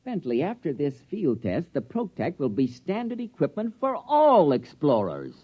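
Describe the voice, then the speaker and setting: Animated, stubborn inventor. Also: male voice, a little high, moderate pace, slightly rough, exaggerated voice. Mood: stubborn, emphatic. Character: stubborn, brisk male inventor proud of his gear. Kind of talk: 1950s radio drama acting. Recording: dull vintage radio recording.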